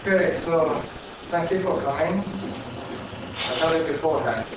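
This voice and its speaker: A man's voice: on the high side, normal speed, noisy background. A man in his thirties whose first languages are Italian and Czech, giving a hesitant public talk full of fillers in a small lecture room. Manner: hesitant, many fillers, self-described shy. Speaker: presenter. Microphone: far camera. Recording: echoey lo-fi room recording from far off.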